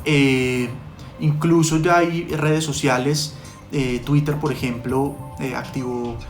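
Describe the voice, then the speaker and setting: Man giving halting interview answers. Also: mid-range voice, fairly even intonation, soft background music. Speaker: podcast producer, interview guest.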